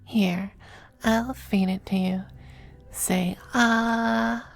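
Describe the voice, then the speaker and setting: A woman playing a possessive, doting, androgynous male demon lover in an intimate ASMR roleplay, speaking softly and often slipping into whispers. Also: a little high, steady tone, full character voice. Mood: teasing, tender. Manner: soft, breathy, unhurried, often dropping to whispers. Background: faint music.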